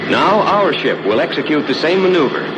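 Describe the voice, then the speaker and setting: An actor playing a calm, reassuring rocket ship captain who explains the flight to passengers in measured, courteous 1950s ride narration. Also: male voice, fairly high pitch, dull audio. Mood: composed, instructive. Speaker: spaceship captain and tour narrator. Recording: noisy vintage 1950s ride soundtrack.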